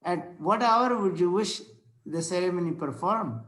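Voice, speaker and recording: A man playing a courteous, solemn Victorian clergyman in a play read-through, speaking formally and a little haltingly. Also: high voice, lively intonation, a bit bright. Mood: courteous, earnest. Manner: formal, preachy, halting as he reads. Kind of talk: amateur play table read.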